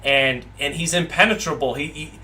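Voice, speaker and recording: A man's voice: fairly high pitch, normal speed, sharp tone. A man hosting a live boxing watch party, reading viewer comments aloud and rambling, with frequent repeats and restarts.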